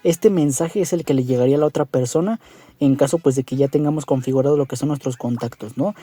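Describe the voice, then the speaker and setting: A man's voice: mid-range voice, quick pace, dark tone. A man narrating a casual app tutorial in an informal, meandering way, often checking in with the listener. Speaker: tutorial host.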